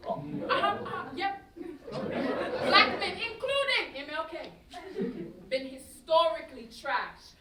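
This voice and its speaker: A woman's voice: extremely high pitch, lively intonation, slow pace, pauses often, over a noisy crowd, full character voice. An actress in a live staged reading, playing a brash, opinionated, combative young woman who swears freely in slang. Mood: defiant. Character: brash, defiant, opinionated young woman, foul-mouthed online activist. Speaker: student actor in a staged reading. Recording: echoey live stage, distant mic, noisy audience.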